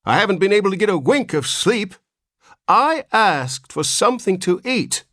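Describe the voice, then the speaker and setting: A cracked, ancient old man, put on by a male narrator, whining querulously in a high, wavering voice that swoops up and down. He complains endlessly in a storybook reading, recorded close and dry. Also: sing-song, moderate pace, pushed and bright, dry recording, full character voice. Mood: querulous whine.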